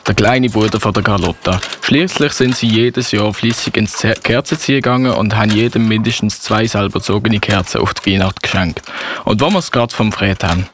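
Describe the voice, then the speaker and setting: A man's voice: fairly low pitch, brisk, background noise, lightly acted. A man with a Swiss German accent narrating a Christmas radio play as storyteller.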